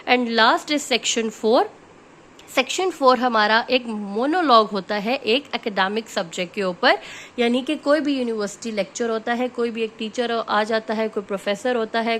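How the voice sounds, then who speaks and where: A woman teaching a test-prep lesson. She explains each point step by step, mixes in English phrases and keeps checking in with her listeners. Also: on the high side. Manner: switches between Hindi-Urdu and English phrases.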